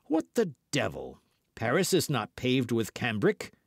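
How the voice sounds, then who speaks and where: A man voicing a haughty young musketeer in an audiobook, measured and coldly polite, with a mild but biting manner. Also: mid-range voice, huge pitch swings, gravelly, breathy edge, dry recording, studio quality, exaggerated voice. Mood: reproachful. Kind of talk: audiobook character dialogue.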